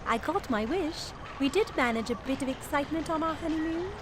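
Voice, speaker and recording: An actress playing a plucky, devoted young Victorian wife and detective's assistant in a radio drama, spirited, earnest and polite. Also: female voice, sits high, animated, a little fast, background noise. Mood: affectionate.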